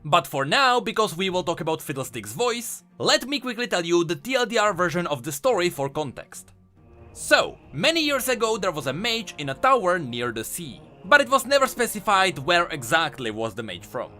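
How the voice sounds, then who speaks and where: A man's voice: high voice, big pitch swings, normal speed, bright, forceful tone, faint music behind. A man hosting a scripted explainer, narrating lore in an emphatic, storytelling way. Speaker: video host and lore commentator.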